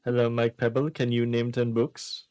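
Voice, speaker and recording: A man's voice: mid-range voice, monotone, moderate pace, darkish tone, clear, resonant tone, no room sound, clean studio sound. A man hosting a radio show with casual comedy banter. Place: small radio studio.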